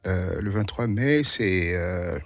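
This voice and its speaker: A man's voice: deep voice, lively intonation, slow pace, no echo. A male radio host asking long, searching questions in an interview. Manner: wordy, searching for words.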